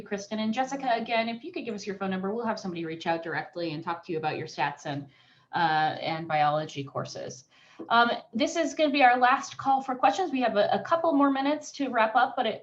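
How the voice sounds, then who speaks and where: A woman moderating a webinar Q&A, upbeat as she reads out audience questions and hands them to panelists. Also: fairly high pitch. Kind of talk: moderating a live Q&A. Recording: dull, lo-fi web call audio.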